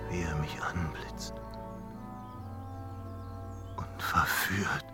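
An actor playing a lovesick, wistful giant in a German fantasy radio play, with a yearning manner. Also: male voice, a bass voice, steady tone, drawn-out words, over music, exaggerated voice. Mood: wistful, smitten.

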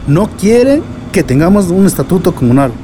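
Man acting a high-voiced, respectful Mexican villager. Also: sing-song, moderate pace, background noise. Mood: indignant. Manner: deferential, earnest. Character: respectful, earnest village man bringing grave news. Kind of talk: radio drama acting.

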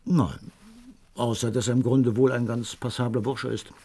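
A man playing a genial, well-informed gentleman, the detective's friend, in a German radio crime drama, speaking in a measured, wryly understated, conversational way. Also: mid-range voice, fairly even intonation, slightly rough. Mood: confiding, measured.

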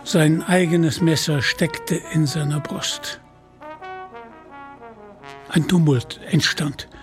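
Ein Mann erzählt als Sprecher eine dramatische Geschichte vom Manuskript. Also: male voice, on the high side, steady tone, takes it slowly, over music. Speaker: narrator. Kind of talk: dramatic scripted story narration.

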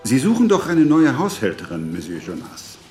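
A polite, meddling townsman speaks with animated intonation at medium pitch. It is radio drama, with a roomy echo around the voice. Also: moderate pace, soft background music. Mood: polite, persuasive. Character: polite, meddling male townsman acting as go-between. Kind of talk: radio play acting.

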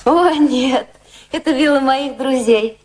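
A woman's voice: high-pitched, takes it slowly, a little mellow. A woman acting in a radio drama, playing an elegant, worldly society lady with a refined, intimate manner. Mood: poised. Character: elegant high-society English lady, poised, worldly, secretly passionate. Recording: radio play with music behind.

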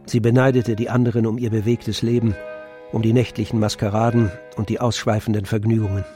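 A man narrating a literary radio play in a measured, composed storytelling manner. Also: on the low side, little pitch movement, warm tone, soft background music.

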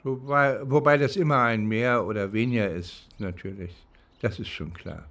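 An older man from East Germany recounting his legal experience reflectively, halting and pausing between phrases. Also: fairly low pitch, noisy background. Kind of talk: reflective spoken recollection, interview-like.

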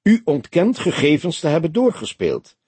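A man reading an audiobook, playing a patient, wry old police detective who questions in a measured, probing, dryly mocking way. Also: on the high side, wildly swooping pitch, a little mellow, slightly rough, no room sound, voice pushed up, full character voice. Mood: solemn. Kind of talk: audiobook character dialogue.

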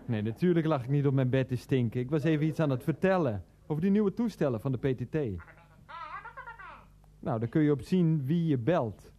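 Actor as hesitant, earnest middle-aged husband. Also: male voice, mid-range voice, expressive, a little fast, dull audio, close to an everyday voice. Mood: mildly exasperated. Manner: hesitant, explanatory, petulant with his mother. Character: hapless, earnest 39-year-old husband and son, defensive. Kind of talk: radio play acting, monologue and phone dialogue.

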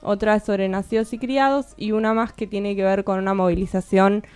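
A young woman from Bariloche, a guest author explaining her book in a relaxed, thoughtful radio chat. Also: medium pitch, a little fast, clean tone, dry recording, soft background music. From Bariloche, Argentina. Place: radio studio.

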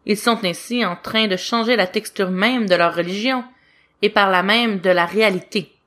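A woman's voice: medium pitch, normal speed, fairly bright tone. Woman reading a story aloud. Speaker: audiobook reader of fan fiction. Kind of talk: expressive storytelling narration.

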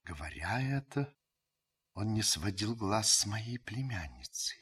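An actor playing a reflective, observant French uncle who narrates his wartime memories in a radio drama, in a measured, literary way. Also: fairly low pitch, sing-song, a bit bright, breathy edge, full character voice. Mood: observant, grave.

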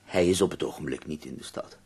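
A man acting in a Dutch radio play as an ailing, nearly blind poet, husky and gravelly, formal, tender and wry. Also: a bass voice, quick pace, a little mellow, exaggerated voice. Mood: courteous, wry.

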